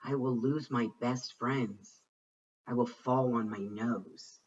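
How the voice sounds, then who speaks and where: A man giving an expressive read-aloud of a rhyming children's picture book, with deliberate, dramatic phrasing. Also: mid-range voice. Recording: muffled, distant microphone.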